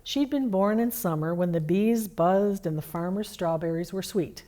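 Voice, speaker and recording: A woman's voice: lowish voice, normal speed, dark tone, natural voice. A woman playing Santa's kindly, homely wife as a children's holiday storyteller. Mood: storytelling.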